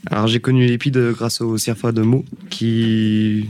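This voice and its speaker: A man's voice: fairly low pitch, level tone, measured pace, darkish tone, a little hiss or hum. A nineteen-year-old man speaking hesitantly, with many fillers, on a youth radio show.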